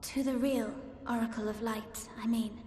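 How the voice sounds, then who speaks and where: A woman's voice: on the high side, steady tone, normal speed, darkish tone, a little hiss or hum, natural voice. A woman playing an earnest, hesitant but resolute teenage girl in a fantasy video game cutscene. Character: earnest teenage girl, a young seer's ward in a fantasy world. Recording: echoey hall reverb, music behind. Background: faint music.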